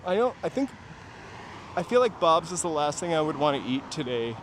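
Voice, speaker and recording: A man's voice: a little high, sing-song, fairly quick. A man from New Hampshire hosts a walking livestream outdoors, chatting casually in rambling asides to his viewers. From New Hampshire, USA. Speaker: walking-tour livestream host. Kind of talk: casual chat while walking. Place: outdoors on city streets, walking. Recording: outdoor street noise, passing vehicles. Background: traffic and urban ambience.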